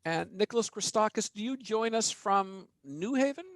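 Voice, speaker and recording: A man hosting an interview podcast, chatty and quick to laugh. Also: sits high, expressive, a bit bright, no room sound. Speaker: podcast host.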